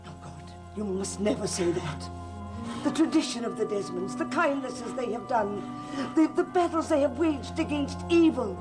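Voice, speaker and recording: Soft music plays under an actress as an imperious woman guarding an old family. She is solemn and commanding, questioning others sternly in the grand manner of gothic soap opera. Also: fairly high pitch, animated, normal speed, lightly acted. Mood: grave, commanding.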